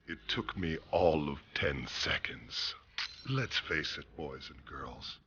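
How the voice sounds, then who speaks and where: An actor playing a sadistic, cursed serial killer, narrating his story in a dark game cutscene with slow, menacing, sardonic delivery. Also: rumbling low, expressive, husky, highs cut off, exaggerated voice. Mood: cold, sardonic. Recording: loud music under the voice. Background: loud music.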